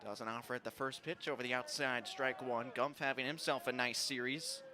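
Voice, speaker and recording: A man's voice: medium pitch, steady tone, normal speed. A man doing live play-by-play commentary of a baseball game as a sports announcer. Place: ballpark, live broadcast. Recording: noisy outdoor stadium ambience.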